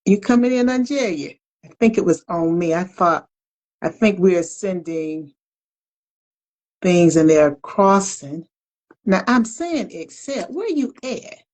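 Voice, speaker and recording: A woman's voice: fairly low pitch, expressive, slow, a little mellow. A woman co-hosting a casual video-call chat, playfully teasing and restarting her words. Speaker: co-host.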